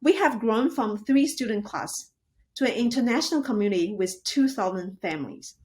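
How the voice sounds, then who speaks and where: A woman who founded a language school, giving an earnest, clear, rehearsed pitch. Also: expressive, moderate pace, a little mellow.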